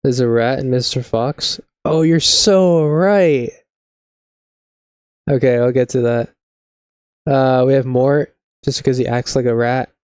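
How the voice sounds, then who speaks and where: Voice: mid-range voice, a little slow, pauses often, a little mellow, smooth, no echo. A laid-back, slangy live streamer of unclear sex, chatting casually with mock seriousness. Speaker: video game live streamer.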